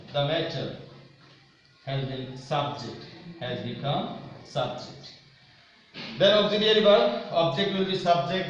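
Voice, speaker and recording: A man's voice: fairly high pitch, fairly bright tone. A man giving a step-by-step grammar lesson to students, explaining in a repetitive, didactic way. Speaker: English grammar teacher. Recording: very echoey room, distant mic, muffled, faint noise.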